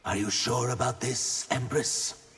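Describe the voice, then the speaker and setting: An actor playing an earnest young male reptilian shapeshifter, speaking formally and in measured phrases in a video game. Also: mid-range voice, steady tone, a bit bright, breathy edge. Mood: respectful. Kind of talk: video game fight banter, dramatic voice acting.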